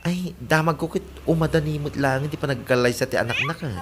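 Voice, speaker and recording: An actress playing a frank, gossipy middle-aged village neighbor in a comedy radio drama, with an Ilocano accent. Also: female voice, deep voice, brisk, natural voice. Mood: chatty. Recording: very noisy background.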